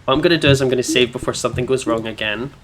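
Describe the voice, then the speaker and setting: A man's voice: mid-range voice, very fast, background noise. A man hosting a gaming playthrough, chatting casually and reading visual novel text aloud. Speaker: gaming video host.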